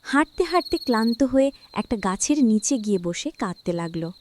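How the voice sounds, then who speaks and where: A woman with a fairly high voice reads out a cartoon fairy tale at an even, storytelling pace. Faint noise sits behind her. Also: quick pace. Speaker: narrator. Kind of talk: scripted storybook narration.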